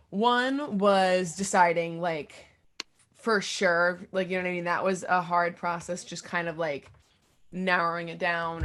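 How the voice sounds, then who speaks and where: Low-voiced young woman chatting candidly. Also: a bit bright. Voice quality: low, deep voice. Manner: candid and informal, thinking aloud in fragments, often quoting own thoughts. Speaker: singer-songwriter being interviewed. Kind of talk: casual interview chat.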